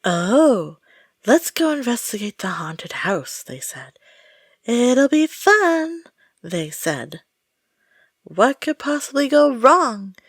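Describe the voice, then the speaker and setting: A woman playing an exasperated, jumpy friend caught up in a haunted-house outing, sarcastic and expressive, in an audio roleplay. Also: on the high side, slow, no room sound, clean studio sound, exaggerated voice.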